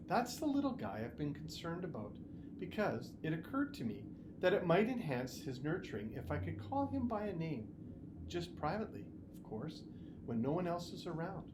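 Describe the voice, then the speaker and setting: Storybook dad read by a man: gentle, confiding, expressive pitch, halting asides, noisy recording. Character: gentle, caring father confiding mildly guilty secrets. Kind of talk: storybook reading. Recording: noticeable background noise.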